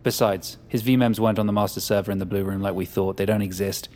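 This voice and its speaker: A man's voice: fairly low pitch, steady tone, fast, darkish tone, natural voice. An actor playing a cold, domineering male leader in an audio drama, speaking in a commanding, callous way. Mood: cold, impatient.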